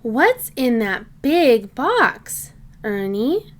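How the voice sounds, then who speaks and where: A woman reading a children's storybook aloud in simple, deliberate phrases meant for young listeners. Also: on the high side, sing-song. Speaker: children's book reader.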